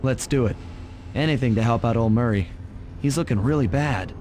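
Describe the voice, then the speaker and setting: A man's voice: medium pitch, moderate pace, a little mellow, natural voice. A man voicing a suave young raccoon thief in a video game, laid-back and self-assured. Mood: concerned. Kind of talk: video game cartoon voice acting. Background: music.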